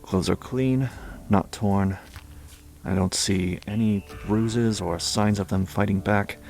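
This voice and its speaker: A man's voice: on the low side, fairly even intonation, slow pace, vocal fry, a little mellow, soft background music. A man playing a terse, brooding police detective in a horror audio drama. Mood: brooding, puzzled. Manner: terse, clipped, brooding sentences. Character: puzzled, brisk male police detective in charge.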